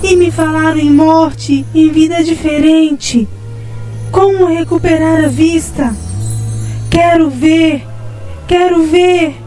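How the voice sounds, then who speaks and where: A woman playing an anguished, blind spirit in a radio drama, lamenting in drawn-out, wailing pleas. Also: fairly even intonation, warm tone. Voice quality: high, wailing voice. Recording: music behind the voice.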